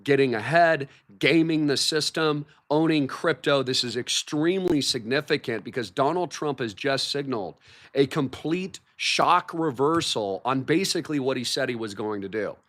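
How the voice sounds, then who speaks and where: A man hosting a livestream, his voice hoarse from a recent cold, talking in an excited, repetitive way about market news. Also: medium pitch, normal speed. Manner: hyped, repeats words like massive and shocking. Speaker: livestream host.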